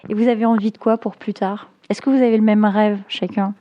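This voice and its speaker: A woman radio interviewer asking a band questions in a friendly, steady way. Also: medium pitch, fairly quick. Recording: slightly dull lo-fi sound.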